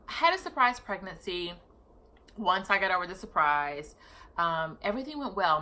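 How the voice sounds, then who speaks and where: Thirtysomething woman vlogging, lowish voice, bright and punchy, chatty, fixes her own words as she goes. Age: 30s. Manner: chatty, corrects herself mid-sentence. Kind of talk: casual personal vlog storytelling.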